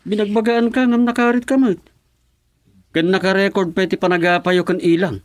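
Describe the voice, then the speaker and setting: A man's voice: high-pitched, fairly even intonation, fairly quick, dry recording. Stern Ilocano man as village chairman. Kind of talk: radio drama acting.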